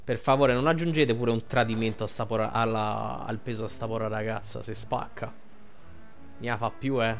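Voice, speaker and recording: A man's voice: fairly low pitch, steady tone, normal speed, creaky, soft background music. A man giving colloquial, rambling, casual gameplay commentary. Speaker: gaming commentator.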